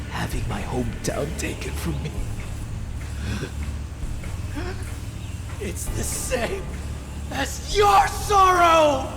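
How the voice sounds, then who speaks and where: An actor playing a haunted young mercenary in a video game, earnest, intense and halting. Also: male voice, very high-pitched, creaky, exaggerated voice. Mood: anguished betrayal. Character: brooding young male mercenary, haunted and wounded. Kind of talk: dramatic video game acting. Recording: music behind the voice.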